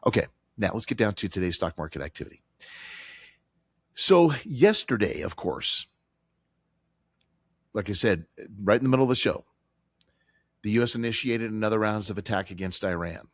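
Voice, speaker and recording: A man hosting a financial talk radio show, hoarse from a cold, talking emphatically with fillers and repeated phrases. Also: on the low side, lively intonation, moderate pace, long pauses, a bit bright, dry recording, clean studio sound. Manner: emphatic, repetitive, conversational with fillers.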